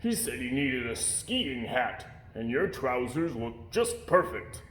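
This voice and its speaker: A man's voice: mid-range voice, sing-song, slow, a bit bright. A man voicing a silly, sheepish toy dog in a storybook reading. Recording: roomy, echoey indoor sound.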